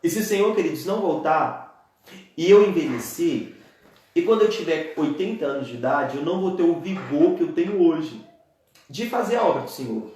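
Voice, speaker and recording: A young man in his twenties with a Brazilian Portuguese accent gives an earnest church talk and keeps turning to his listeners as dear ones. His voice sits a little high for a man. The room around him is very echoey, with his words ringing back. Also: animated, moderate pace, darkish tone. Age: 20s. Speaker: preacher. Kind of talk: church teaching talk on a live stream.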